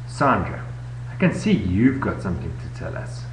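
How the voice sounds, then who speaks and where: A man's voice: sits low, big pitch swings, moderate pace. Man putting on a coaxing lady teacher, lilting. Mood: encouraging. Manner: coaxing, prompting. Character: encouraging, patient female primary-school teacher. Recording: echoey room, noisy webcam audio with hum.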